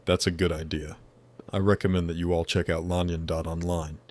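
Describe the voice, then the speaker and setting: A man gives voice to a wise angelic being from a higher dimension, a male spirit who talks in a measured, formal way. His voice sits very low. He asks his questions with gentle curiosity. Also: level tone, natural voice. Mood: calm, friendly. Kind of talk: scripted dialogue.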